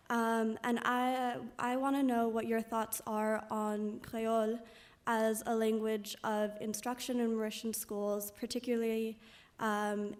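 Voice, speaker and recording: A woman's voice: fairly high pitch, darkish tone. A young woman, a student, asking a prepared question from the audience in a polite, formal way. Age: young adult. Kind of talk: prepared question at a public event.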